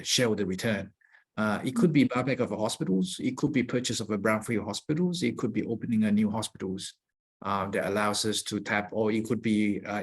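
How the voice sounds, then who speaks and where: A man speaking as a company executive, giving measured, explanatory answers on an earnings call. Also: on the low side, breathy edge.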